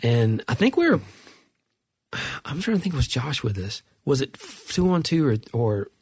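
A man's voice: mid-range voice, big pitch swings, moderate pace, breathy edge, no echo. A middle-aged man co-hosting a podcast, telling stories in a hesitant, rambling way. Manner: rambling, hesitant, self-conscious. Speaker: podcast co-host. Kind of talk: casual comedic chat.

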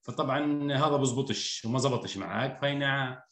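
A man in his thirties with a rural Palestinian accent, talking frankly as a podcast guest, reflective and quick to laugh. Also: medium pitch, a bit bright. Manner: candid, reflective, laughs easily. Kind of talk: casual podcast conversation. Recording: echoey room with faint music.

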